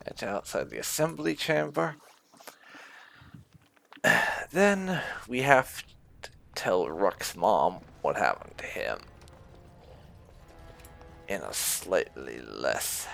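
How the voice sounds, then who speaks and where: A man's voice: low-pitched, vocal fry, fairly bright tone. A man giving casual, conversational commentary on a game, explaining things as he plays. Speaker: gaming YouTuber.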